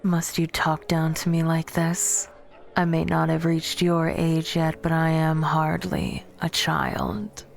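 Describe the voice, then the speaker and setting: A woman playing a proud, bitter vampire warrior in an ASMR audio roleplay, speaking in a breathy, often whispered voice with haughty, cutting formality. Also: deep voice, monotone, takes it slowly, full character voice. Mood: bitter, contemptuous.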